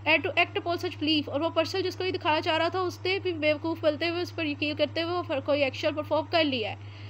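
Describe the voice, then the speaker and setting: A woman with a Pakistani Urdu accent giving an explanatory law lecture, reading English statute text slowly word by word with frequent "uh" fillers. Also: very high-pitched, fairly even intonation, a bit bright, a little hiss or hum.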